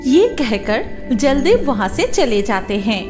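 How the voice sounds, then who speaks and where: High, animated woman storyteller, slow paced. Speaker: narrator. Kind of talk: scripted fairy-tale cartoon narration. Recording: faint music behind the voice.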